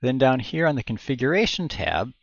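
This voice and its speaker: A middle-aged man giving an informal step-by-step tech tutorial on a headset mic indoors, explaining haltingly as he thinks aloud. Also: mid-range voice, very animated, vocal fry, no room sound, muffled recording. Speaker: tutorial presenter. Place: indoor room at home. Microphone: headset boom microphone.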